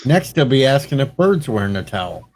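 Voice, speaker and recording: A man's voice: mid-range voice, sing-song, brisk, a little mellow. A man joking and teasing others in a casual voice chat. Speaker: stream chat participant.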